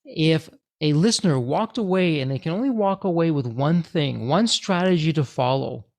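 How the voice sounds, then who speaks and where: A male podcast host with a somewhat high, gravelly voice leads his guest through questions with upbeat, theatrical setups. He sounds friendly and drives the conversation forward. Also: expressive, normal speed. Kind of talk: podcast interview.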